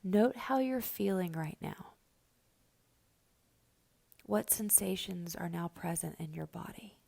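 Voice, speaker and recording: A woman guiding a meditation in a soft, breathy voice that is often close to a whisper, giving gentle, slow instructions with long pauses. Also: lowish voice, lively intonation. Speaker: guided meditation host. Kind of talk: slow guided meditation narration.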